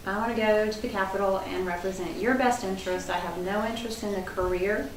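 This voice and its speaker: A woman candidate gives an earnest, personal opening statement at a forum. She sounds set back from the mic in a roomy space. Steady background noise runs underneath. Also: mid-range voice, fairly quick. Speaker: political candidate. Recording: roomy hall, distant mic, noisy.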